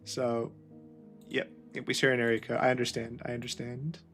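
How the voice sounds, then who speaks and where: A man's voice: mid-range voice, flat delivery, moderate pace, fairly bright tone, soft background music. A man playing a detective game, musing aloud and often trailing off mid-thought. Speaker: video game player. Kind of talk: gameplay commentary.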